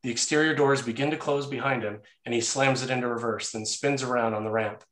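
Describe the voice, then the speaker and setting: A man's voice: mid-range voice, steady tone, brisk, fairly bright tone. A man narrating action and scene headings from a screenplay in a scripted read. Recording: roomy, echoey sound.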